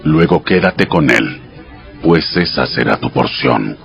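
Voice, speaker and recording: Deep, solemn voice of God. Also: male voice, animated, gravelly, dull audio, faint music behind, heavily acted. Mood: solemn, commanding. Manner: solemn, commanding, measured instructions. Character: solemn male God dictating ritual law to Moses. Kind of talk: dramatized audio Bible scripted reading.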